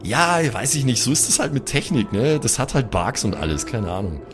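A man's voice: medium pitch, very animated, brisk, voice pushed down, lightly acted. A man plays a laid-back young superhero in an improvised tabletop audio drama. He rambles eagerly in casual slang and sounds happily clueless, with faint music underneath. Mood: breezy, clueless. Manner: casual slang, rambling, says Alter and Digga.

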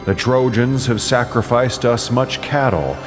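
A man's voice: mid-range voice, measured pace, natural voice. A man playing a mighty, imperious king of gods and exasperated husband, speaking in a grand declamatory way in an epic audio drama. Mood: scheming, displeased. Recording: long cavernous reverb, loud music behind.

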